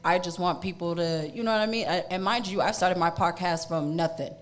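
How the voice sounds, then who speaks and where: Low, strong-voiced woman comedian. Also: fairly quick. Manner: loud, lively and joking, with a strong voice. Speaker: comedian and podcaster. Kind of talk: casual podcast interview.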